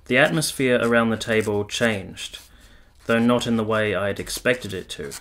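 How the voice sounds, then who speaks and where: A man playing a courteous, reserved army captain in a radio play, speaking in a measured, formal manner. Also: on the low side, a bit bright, close to an everyday voice. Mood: reflective. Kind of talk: radio play narration and dialogue.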